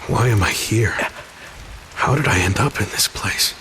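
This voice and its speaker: A man's voice: fairly low pitch, measured pace, natural voice. A man playing a brooding, devout warrior, a video game hero talking to himself. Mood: brooding, resolute. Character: brooding, devout male warrior on a holy mission. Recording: clear rain and thunder noise behind.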